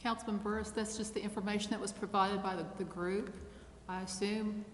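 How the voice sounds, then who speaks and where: A woman's voice: mid-range voice, fairly even intonation, brisk. A woman serving as meeting clerk, speaking in short official phrases. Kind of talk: roll call and brief clarifications. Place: large indoor council chamber. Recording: echoey hall, faint background noise.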